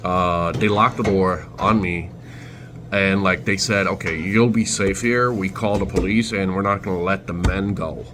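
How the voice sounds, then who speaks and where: A young man from Turkey with a Turkish accent, telling a story in his vlog in halting, self-correcting speech. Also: sits low, steady tone. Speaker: vlogger. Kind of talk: casual storytelling. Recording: noisy background ambience.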